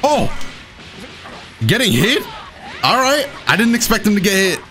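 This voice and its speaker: Excitable young man, lively gaming commentary. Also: sits high, a little slow, faint music behind. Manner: excitable, exclaiming, self-deprecating. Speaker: gaming video host. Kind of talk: live commentary over own gameplay.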